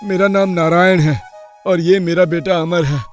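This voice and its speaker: A man's voice: sits high, steady tone, normal speed, faint music behind, close to an everyday voice. A man voicing a poor village father in a moral-story cartoon, humble, earnest and deferential as he pleads.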